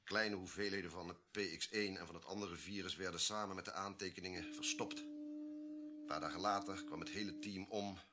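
An actor playing an authoritative, unsentimental government official in a Dutch radio drama, explaining grim secrets emphatically. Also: male voice, sits low, sing-song, fairly quick, very noisy. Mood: grave, confiding.

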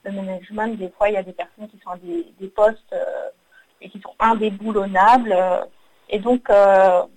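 A woman's voice: medium pitch, fairly even intonation, moderate pace, darkish tone, low-quality recording. A woman in her thirties from Belgium, speaking as a radio interview guest, telling her story hesitantly with many fillers. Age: 30s. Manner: hesitant, frequent "euh" fillers and restarts. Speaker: guest, work psychologist. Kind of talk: radio interview, personal account.

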